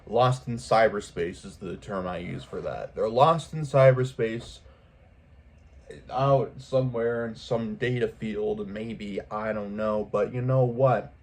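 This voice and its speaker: A man's voice: medium pitch, darkish tone. A man speaking casually and unscripted to his viewers in a vlog, rambling earnestly and repeating himself for emphasis. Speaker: video creator speaking to viewers. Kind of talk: casual unscripted vlog monologue, pep talk.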